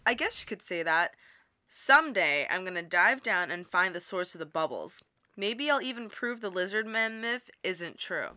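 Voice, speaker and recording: A woman's voice: on the low side, expressive, moderate pace, bright, forceful tone. A woman playing a practical, wry young lighthouse keeper and inventor, narrating and speaking in an audio drama in a conversational way. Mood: matter-of-fact.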